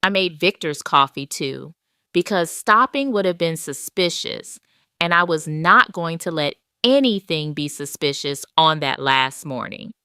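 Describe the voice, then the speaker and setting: A woman reads a scripted, dramatic first-person story. Her voice is low and very smooth, with measured, deliberate delivery. The recording is close and dry. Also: animated, bright, forceful tone, dry recording. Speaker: audio story narrator.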